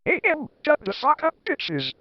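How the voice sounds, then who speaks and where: A man voicing a bratty, snippy teenage girl author in a high, squealing put-on voice. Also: wildly swooping pitch, unhurried, no echo, dull audio, heavily acted.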